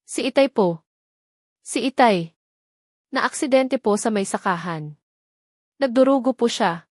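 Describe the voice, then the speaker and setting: A woman's voice: fairly high pitch, expressive, measured pace, darkish tone, no room sound. Audio romance: female reader as scared farm boy of ten, polite, urgent, pleading, dry sound. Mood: panicked. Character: frightened, breathless ten-year-old farm boy, polite.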